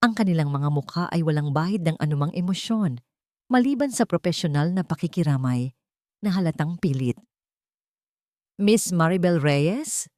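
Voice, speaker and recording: A woman's voice: deep voice, animated, a little fast, dark tone, dry recording, studio quality. A woman narrating an audiobook, reading a scripted love story in a dramatic storytelling style.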